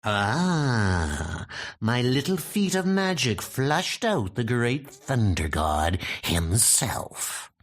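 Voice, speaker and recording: A man voicing a cunning, vengeful Norse god of mischief with theatrical, taunting, drawn-out delivery in a comic book dub. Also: mid-range voice, sing-song. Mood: sly, menacing.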